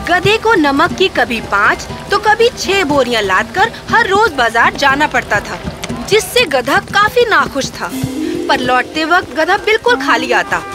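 A woman's voice: sits high, sing-song, over music. A woman reading a scripted children's story as the narrator.

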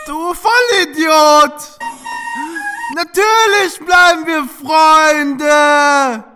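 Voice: high-pitched, drawn-out words. An actor in an anime fan dub voicing a brash teenage boy who is dramatic and easily exasperated. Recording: music under the voice.

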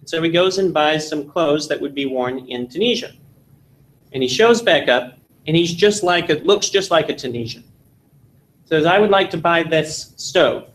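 Texan man lecturing, lively joke-telling. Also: on the high side, moderate pace, slight background noise, low-quality recording. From Texas. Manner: animated storytelling with jokes, gesturing. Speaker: seminar instructor. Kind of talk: classroom lecture. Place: seminary meeting room, indoors.

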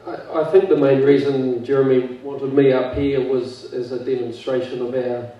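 A man speaking on stage as company chairman, measured and earnest with dry humour. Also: medium pitch, steady tone. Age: 50s. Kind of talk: impromptu speech. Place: large event hall on stage. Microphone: handheld mic. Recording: echoey hall, distant mic, dull sound.

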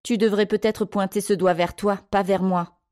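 Stern, furious woman scolding children, as voiced by a female narrator, raised pitch, commanding, audiobook drama. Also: fairly even intonation, moderate pace, natural voice. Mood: indignant. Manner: sharp, commanding shouting.

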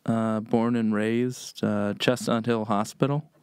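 A man's voice: fairly low pitch, flat delivery, slow pace, a little mellow, smooth, no echo, clean studio sound. A man from Pennsylvania, a chef and podcast guest, telling family stories in a loose, rambling way with many fillers. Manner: rambling, many "um"s, laid-back storyteller. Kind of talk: casual storytelling.

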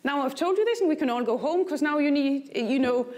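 A woman's voice: high-pitched, lively intonation, brisk, darkish tone. A middle-aged woman with a Danish accent, a native Danish speaker, gives a keynote lecture. She walks the stage on a lapel mic in a large conference hall, adds dry asides, and puts questions to the audience. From Denmark. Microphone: lapel mic, walking the stage.

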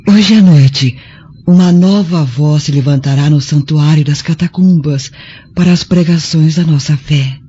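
Woman as a devoted, dark-toned Roman maidservant. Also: sits low, a little slow, breathy edge, exaggerated voice. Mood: glad, devoted. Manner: respectful, earnest, gently theatrical. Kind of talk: radio drama acting. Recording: dull sound with faint music.

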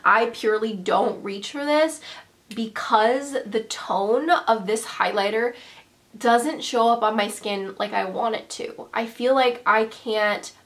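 A woman in her twenties with a congested cold, chatting to camera as she reviews makeup in her bedroom, with quick self-deprecating asides. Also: fairly high pitch, a bit bright. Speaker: beauty vlogger. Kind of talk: casual product review to camera. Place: bedroom vanity, indoors.

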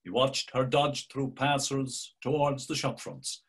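Older man, husky voice, measured literary narration, webcam. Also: medium pitch, steady tone. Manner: measured, deliberate storytelling. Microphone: webcam on a video call.

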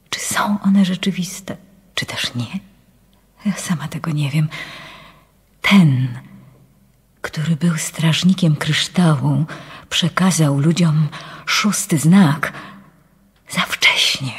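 An actress playing a frail, fearful foreign nun in a radio drama, speaking in a halting, hushed, breathy voice as she pleads. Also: low voice, exaggerated voice. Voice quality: breathy, whispery, husky. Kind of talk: radio drama letter monologue. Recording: long hall-like reverb.

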